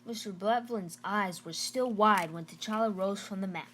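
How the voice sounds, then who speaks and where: A woman's voice: medium pitch, normal speed, a bit bright, slight background noise. A woman narrating a children's novel aloud in a storybook read-aloud style.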